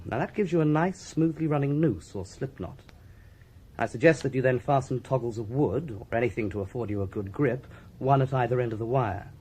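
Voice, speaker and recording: A British forensic doctor in a radio crime drama. His husky, mid-pitched voice swoops with lively emphasis as he explains things precisely. He sounds pleased with his own cleverness and enjoys instructing. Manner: didactic, precise, self-satisfied. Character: brisk, relishing male forensic doctor, theatrically pedantic.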